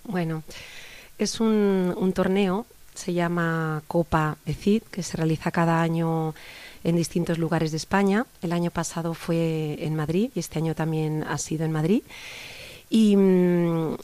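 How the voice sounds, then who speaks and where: A woman's voice: fairly low pitch, fairly even intonation, unhurried, dark tone. A middle-aged woman from Barcelona, a guest in an interview, talking at length in an earnest, rambling way with many restarts. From Barcelona, Spain. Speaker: interview guest, youth organizer. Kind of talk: casual conversational interview.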